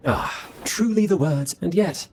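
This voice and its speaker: An actor playing a sly schemer who serves a dark lord in a video game, with insinuating, mock-courteous, theatrical speech. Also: male voice, sits high, measured pace. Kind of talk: scripted video game dialogue.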